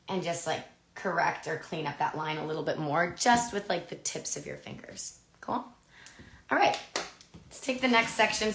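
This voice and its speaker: A woman in her thirties with a low, gravelly, raspy voice, a little hoarse after being sick. She walks through a haircut live online in a loose, chatty way. The studio is roomy and echoey. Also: a bit bright, a little hiss or hum. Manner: chatty, filler-heavy, asks "right?" often. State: recovering from a cough, losing her voice. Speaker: hairdressing educator. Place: studio with a grey backdrop, indoors.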